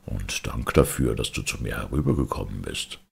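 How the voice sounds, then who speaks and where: A man voicing a gentle, wistful old man in a storybook, mild, reflective and gravelly. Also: rumbling low, animated, normal speed, pitched below the performer's own voice, exaggerated voice. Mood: thankful.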